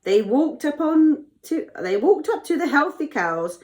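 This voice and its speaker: A woman's voice: high voice, very animated, unhurried, a little mellow, pitched above the performer's own voice. Woman playing a commanding, theatrical troubled king. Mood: bemused. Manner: declamatory, theatrical. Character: commanding, troubled male Egyptian ruler telling his dreams.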